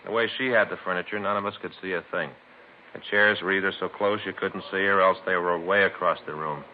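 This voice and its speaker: A man's voice: sits low, fairly even intonation, a little fast, narrow, tinny audio, close to an everyday voice. An American man playing a chatty married police detective in a 1950s radio drama, folksy, conversational and mildly grumbling. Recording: thin, lightly noisy 1950s radio recording.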